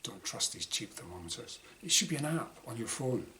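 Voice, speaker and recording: A man reading his own first-person short story dramatically, in clipped diary-like phrases with pauses. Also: medium pitch, sing-song, sharp tone. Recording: clearly noisy room ambience.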